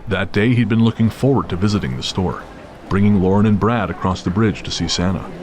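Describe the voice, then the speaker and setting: Very deep-voiced male story narrator. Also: fairly quick. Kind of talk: scripted dramatic story narration. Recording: clear street noise behind narration.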